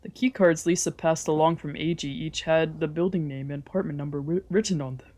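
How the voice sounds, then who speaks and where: A woman reading first-person visual novel narration aloud in an expressive storytelling style. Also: low-pitched, a little fast.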